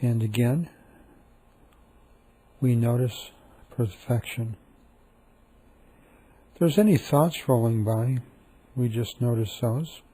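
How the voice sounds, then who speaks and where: A man leading a guided meditation, speaking calmly and softly with long, repetitive pauses. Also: mid-range voice, husky.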